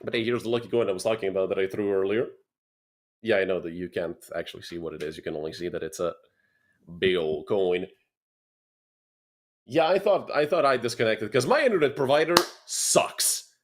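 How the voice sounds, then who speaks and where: A man's voice: lowish voice, a little fast. Chatty young man casting a match solo. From Serbia. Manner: chatty, talks to chat between plays. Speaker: esports caster. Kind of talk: solo play-by-play commentary.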